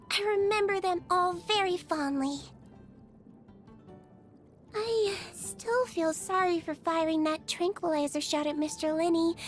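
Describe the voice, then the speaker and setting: A woman playing a small, sweet, earnest creature who works as a nurse in a video game, gentle and bubbly with an innocently clinical manner. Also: very high voice. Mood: admiring, then apologetic. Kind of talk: video game character voice lines. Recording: music behind the voice.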